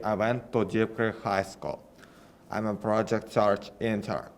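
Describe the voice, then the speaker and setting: A nineteen-year-old man gives a careful, prepared introduction as an intern. His voice is rather low and clear, and he takes his time over each sentence. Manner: slow, careful, one false start. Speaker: program intern.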